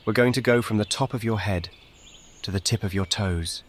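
A man with a fairly low voice leads a guided meditation in a calm, measured way. His short instructions are gentle and soothing. Faint birdsong and outdoor nature sounds sit behind him. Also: slight background noise. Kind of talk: guided meditation, scripted narration.

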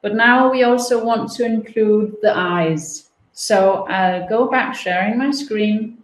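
A woman in her thirties presenting an online coding webinar from home over a webcam, explaining steps in a relaxed way with playful comparisons. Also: mid-range voice, slow. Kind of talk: online teaching demo. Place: home room, indoors. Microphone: laptop webcam video call. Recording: roomy echo.

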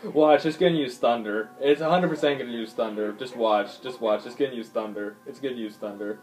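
A man's voice: medium pitch, fairly quick, a little mellow, poor audio. A man giving casual, swearing gameplay commentary, thinking aloud with lots of ums as he plays. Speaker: gaming YouTuber. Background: faint game music.

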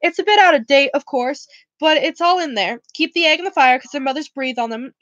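A man's voice: very high voice, fairly quick, pushed and bright, no room sound, highs cut off. A woman voicing a big, proud, bumbling half-giant gamekeeper in a storybook read-aloud, blustering in rambling bursts. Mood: enthusiastic.

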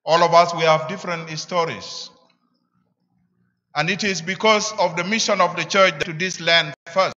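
A middle-aged man with a South Sudanese accent preaches a sermon deliberately, in short emphatic phrases, at a church lectern. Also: a little high, steady tone, pushed and bright. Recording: echoing church, slightly dull sound.

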